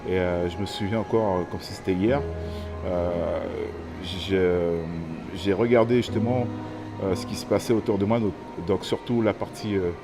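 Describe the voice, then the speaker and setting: Man in his thirties, from french guiana, hesitant personal testimony, lowish voice, music underneath. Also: steady tone, normal speed. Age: 30s. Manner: hesitant, many fillers and restarts. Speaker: interviewee telling his story.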